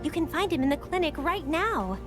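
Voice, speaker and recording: An enthusiastic young woman helping at a camp clinic in a video game. Her voice is high and earnest, with music behind it. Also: sing-song, brisk.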